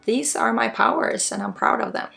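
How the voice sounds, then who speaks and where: A woman from Sweden with a Swedish accent guides a spiritual healing ASMR session in a soft, breathy, informal and halting way, often dropping toward a whisper. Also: on the high side. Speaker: spiritual guide, energy healer. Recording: soft music under the voice. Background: soft music.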